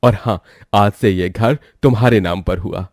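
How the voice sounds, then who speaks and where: A man voicing a generous, grateful older village landlord in a cartoon moral story, speaking in a measured, magnanimous way. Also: fairly low pitch, a little mellow, no room sound, studio quality.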